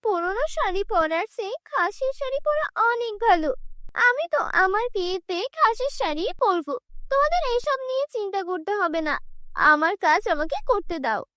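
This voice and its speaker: A woman acts out a poor young village bride in a fairy-tale cartoon. Her voice is extremely high and dry, plaintive yet stubborn and proud, with emphatic delivery. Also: very animated, moderate pace, pushed and bright, no echo, heavily acted. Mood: defiant.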